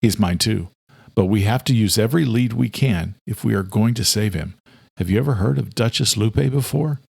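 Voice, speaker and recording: A resolute brother from a thriller audiobook, acted by a man. He is grim, firm and decisive. His voice is gravelly, recorded dry. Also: lowish voice, moderate pace, a little mellow, dry recording, voice pushed down. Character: grim, resolute brother taking charge of the hunt.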